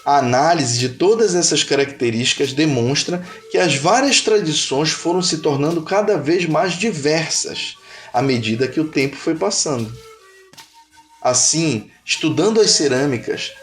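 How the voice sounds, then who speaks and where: A Brazilian man reading scripted educational narration in a measured, didactic manner. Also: fairly high pitch.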